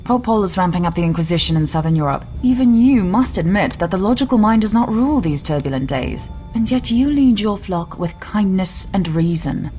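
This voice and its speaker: Low-voiced, composed woman healer played by an actress. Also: a little mellow, lightly acted. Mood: firm, earnest. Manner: formal, earnest, articulate, persuasive. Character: mysterious, defiant, composed woman healer in 16th-century drama. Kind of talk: audio drama acting. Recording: music behind the voice.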